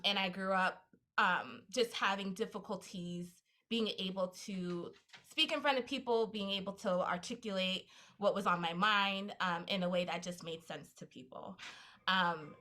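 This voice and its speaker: Woman panelist from the US, crisp tone, self-deprecating and easygoing. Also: mid-range voice. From United States. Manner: warm, self-deprecating, laughs easily. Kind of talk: casual panel discussion.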